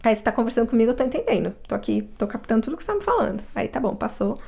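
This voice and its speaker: A woman's voice: fairly high pitch, sing-song, very fast, creaky, mellow. A Brazilian woman with a Brazilian Portuguese accent, a guest telling her stories in a casual interview, reflective, often restarting her sentences. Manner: reflective storytelling with frequent restarts.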